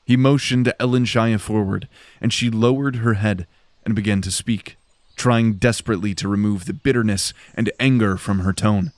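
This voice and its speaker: Guy narrating a fantasy story, lowish voice, dramatic read, very dry close sound. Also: fairly even intonation, normal speed, no echo.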